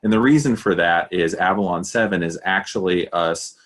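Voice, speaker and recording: A man giving a casual software demo over a video call, relaxed and loose, with frequent fillers and restarts. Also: low-pitched, moderate pace. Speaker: community manager giving a demo. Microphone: video call webcam.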